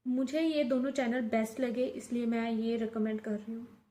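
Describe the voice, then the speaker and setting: Young woman from India, casual vlogger, mellow higher voice, roomy echo. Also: steady tone, normal speed. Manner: chatty, mixes English words into her talk, many restarts. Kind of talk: casual advice vlog. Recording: echoey room.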